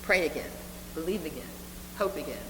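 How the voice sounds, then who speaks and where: Echoey low-voiced older woman preaching. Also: expressive. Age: 70s. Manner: earnest, deliberate, with repeated phrases for emphasis. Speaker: reverend preaching to a congregation. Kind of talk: sermon. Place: reverberant meeting hall with a congregation. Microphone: live speaking microphone. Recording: echoey hall, noisy room with hum.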